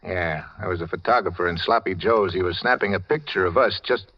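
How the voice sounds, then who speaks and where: A man's voice: low-pitched, a little fast. An actor playing a wary, desperate American man on the run, talking in terse hard-boiled style in 1940s radio drama. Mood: weary. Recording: dull, lo-fi old radio recording.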